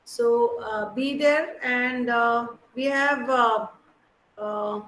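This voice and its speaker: Female counselor running a video-call class, sharp and high, echoey room. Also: steady tone, very slow. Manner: calls on students one by one, hesitant fillers. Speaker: academic counselor. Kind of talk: leading an online class introduction.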